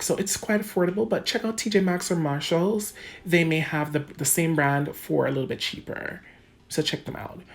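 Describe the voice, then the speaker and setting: A woman's voice: low-pitched, creaky. A woman with a Jamaican accent narrating a makeup tutorial in a chatty, casual way, talking straight to her viewers. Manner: chatty, addresses viewers as guys, laughs often. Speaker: beauty vlogger. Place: home room under bright lights.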